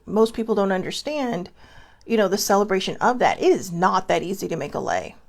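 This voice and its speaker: A woman's voice: mid-range voice, animated, brisk, vocal fry, darkish tone. A woman from Hawaii hosting a casual vlog, talking to her viewers in a chatty, meandering way with lots of fillers and restarts. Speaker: vlog host. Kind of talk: casual rambling monologue to viewers.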